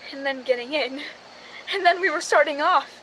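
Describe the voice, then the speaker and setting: High-voiced woman narrating radio suspense as an actress. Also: moderate pace, heavy background noise, natural voice. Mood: tense, recounting. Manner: dramatic first-person storytelling. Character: young film stand-in woman narrating her crime story.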